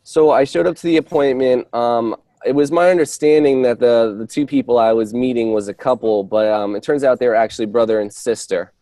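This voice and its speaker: Guy giving a work update on a call, kinda halting, soft mellow tone, really dry sound. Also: medium pitch, no echo. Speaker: real estate agent reporting on appointments. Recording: dry conference call audio.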